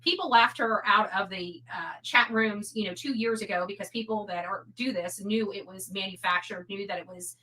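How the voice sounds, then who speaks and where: A woman giving casual, rambling opinion commentary in a halting way, often repeating her words. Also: medium pitch, bright, forceful tone, poor audio. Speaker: online commentator.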